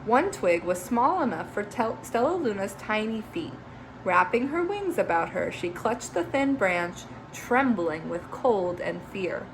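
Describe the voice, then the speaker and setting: A woman teacher reading a children's picture book aloud, expressive, with chatty asides to young listeners. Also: medium pitch, measured pace, noisy background.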